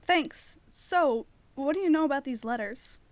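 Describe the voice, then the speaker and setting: An actress plays an earnest young woman in an audio drama. Her voice is high, and she tells her story in a chatty, expressive way. She sounds curious and determined. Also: measured pace. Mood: earnest, questioning. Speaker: narrator and lead actor of an audio drama. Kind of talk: audio drama narration and dialogue.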